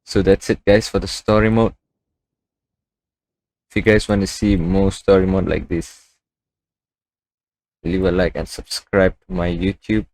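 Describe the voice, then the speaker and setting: A man streaming a game, making relaxed, chatty asides to his viewers. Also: deep voice, a little slow, pauses often, dry recording. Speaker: gaming streamer. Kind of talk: casual live gameplay commentary. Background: game music.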